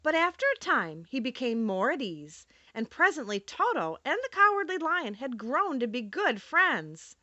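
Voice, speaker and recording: A woman narrating a children's classic with a lively, rising and falling voice. Her tone is bright and a little high, and it sounds dry and close to the mic. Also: moderate pace, few pauses, no echo, muffled recording. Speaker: audiobook narrator. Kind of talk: expressive audiobook narration.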